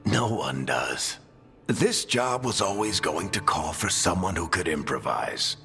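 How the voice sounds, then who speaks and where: An actor playing a gruff, steady older mentor in a video game, with a gravelly, plain-spoken, wry delivery. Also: male voice, deep voice, measured pace, in a large hall, faint music behind, heavily acted. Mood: calm, reassuring. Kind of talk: dramatic video game acting.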